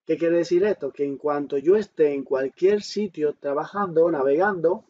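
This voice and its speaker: A man's voice: on the high side, normal speed, a little mellow. A man instructor giving a step-by-step smartphone tutorial in an explanatory way, often asking questions and answering them himself, as a voice-over with dull, lo-fi sound. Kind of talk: step-by-step smartphone tutorial, talking while demonstrating. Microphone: voice-over on a screen recording.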